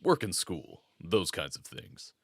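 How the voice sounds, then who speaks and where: A man's voice: sits low, very animated, slow pace, dry recording, full character voice. An actor playing a friendly, joking male werewolf who guards his pack's home. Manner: laid-back, joking. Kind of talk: audio roleplay. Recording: dry close recording.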